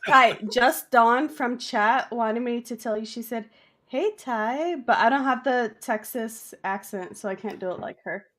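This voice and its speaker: Playful sassy woman, pitch a touch high. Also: a little slow, fairly bright tone. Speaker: guest. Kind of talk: casual banter.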